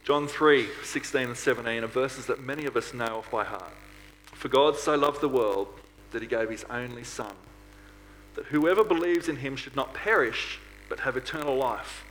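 A man delivers an earnest church sermon in a mid-pitched voice, posing rhetorical questions as he goes. The recording carries some background noise and a hum. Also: normal speed, a bit bright, poor audio. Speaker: preacher at a church. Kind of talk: sermon to a congregation.

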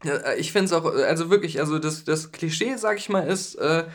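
High-voiced joking podcast guy. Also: fairly quick. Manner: jokey, giggly, reads forum posts aloud. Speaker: podcast co-host. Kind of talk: casual comedic podcast chat.

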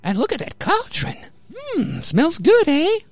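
A man plays an eager woman customer in a horror comic radio play. The voice is thin and witchy, lifted into a high falsetto. The manner is hungry and delighted. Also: wildly swooping pitch, unhurried, full character voice.

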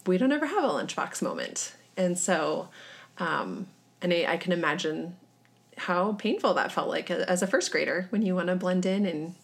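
A woman hosting a conversation, gentle and appreciative as she asks questions. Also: fairly low pitch, big pitch swings, moderate pace, vocal fry. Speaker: host. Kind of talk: interview conversation.

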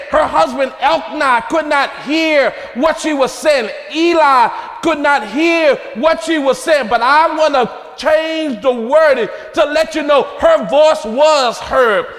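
A man preaching in a very high voice, shouting with fervor and building his phrases in rhythmic repetition. His voice rings out with a long reverb, as if in a large church hall. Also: takes it slowly, hardly any pauses, some chatter behind.

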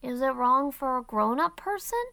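A woman's voice: sits high, lively intonation, normal speed, vocal fry, pushed and bright, smooth, no echo, clean studio sound, voice pushed up, heavily acted. A woman voicing a curious, stubborn six-year-old boy who asks insistent, aggrieved questions. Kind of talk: audiobook character voice.

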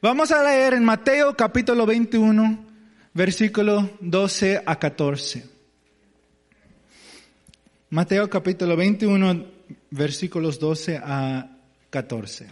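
A man's voice: sits high, slow pace, long pauses, in a large hall. A man preaching as pastor, hesitant and searching for words in a language that is not his first, with as his tongue. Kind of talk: sermon.

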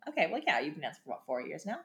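A young woman with a deeper, husky voice, talking bluntly in a casual podcast chat and laughing often. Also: wildly swooping pitch, racing, a bit bright. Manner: blunt, laughs often, uses mild profanity. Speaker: podcast co-host. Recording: echoey room.

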